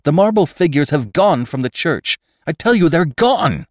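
A man playing a frightened, stubborn, highly strung husband in a story, agitated and insistent. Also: lively intonation, moderate pace, a little mellow, no echo, clean studio sound. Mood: frantic.

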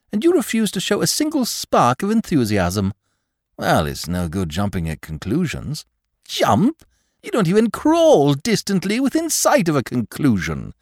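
A man reading aloud, voicing a flippant, excitable aristocratic amateur detective. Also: dry recording, studio quality. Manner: flippant, theatrical, high-spirited.

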